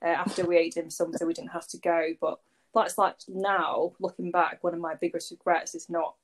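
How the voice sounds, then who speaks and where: A woman's voice: fairly low pitch, flat delivery, normal speed, rough recording. A woman, chatting as a podcast guest in a rambling, conversational way full of fillers. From Warrington, England. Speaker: podcast guest, agency founder. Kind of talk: casual conversational interview.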